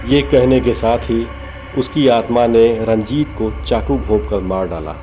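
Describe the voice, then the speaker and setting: A man's voice: medium pitch, a little slow, dark tone, soft background music. A man reading scripted narration of a horror story.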